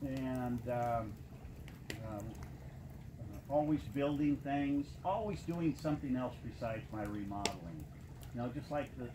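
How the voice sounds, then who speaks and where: Halting, plain-spoken man in his fifties, noisy recording. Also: mid-range voice. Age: late 50s. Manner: halting, plain-spoken, frequent pauses and throat clearing. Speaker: guest speaker giving a personal testimonial. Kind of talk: informal spoken testimonial to a live audience. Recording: noisy live room recording.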